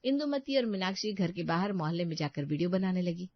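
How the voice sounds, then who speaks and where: A woman's voice: fairly low pitch, lively intonation, fairly quick, dry recording. A woman narrates a moral story from a script. Her recording is very dry, with a slightly dull top end.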